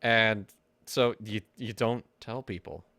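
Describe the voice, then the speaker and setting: Young man, livestream co-host, casual joking banter, bright tone, dry sound. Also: on the low side, fairly even intonation, slow pace, no room sound.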